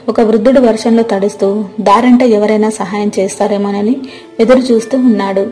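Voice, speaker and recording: A woman with a mellow, medium-pitched voice narrates a scripted moral tale. Faint music plays beneath her storytelling. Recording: music behind the voice.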